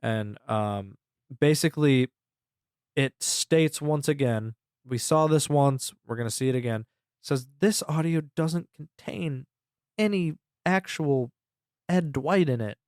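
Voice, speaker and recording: A man's voice: fairly high pitch, unhurried, darkish tone, no room sound, studio quality. A man co-hosting a podcast, recapping a story in a rambling, casual way and swearing freely. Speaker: podcast co-host.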